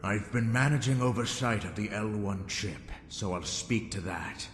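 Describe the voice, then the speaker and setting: A man playing a grave, blunt vice admiral who speaks deliberately and formally in a sci-fi drama. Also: on the low side, fairly bright tone, lightly acted. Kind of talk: sci-fi audio drama acting. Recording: echoey hall with long reverb.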